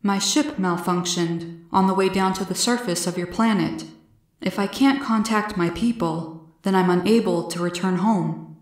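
A woman's voice: mid-range voice, moderate pace, reverberant, close to an everyday voice. Woman voicing a curious, formal male alien cloud. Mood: polite, matter-of-fact. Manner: formal, literal, inquisitive phrasing. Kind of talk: storybook character voice.

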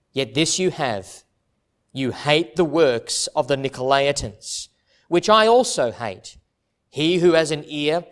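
A man in his thirties preaching a measured expository sermon. His voice sits slightly high, and he takes his time. He reads scripture with deliberate, earnest emphasis. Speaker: pastor. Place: church stage in front of a plain backdrop.

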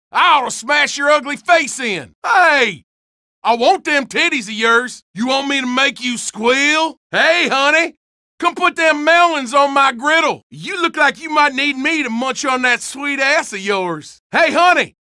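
Game barks: threatening Southern country man, crude drawl, bright and dry. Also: extremely high pitch, dry recording, full character voice. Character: rude hostile rural redneck man, middle-aged.

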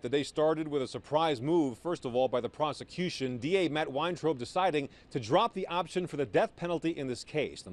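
A middle-aged American man giving a live news report outdoors. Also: fairly high pitch. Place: outdoors outside a courthouse.

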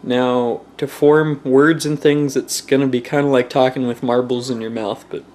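A young man with a medium-pitched voice walks through a homemade project in an informal way. He thinks aloud as he goes, a little hesitant, like an amateur tutorial presenter. He is recorded indoors at home, close to a handheld camera. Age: young adult. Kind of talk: casual how-to explanation. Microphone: handheld camera at close range.

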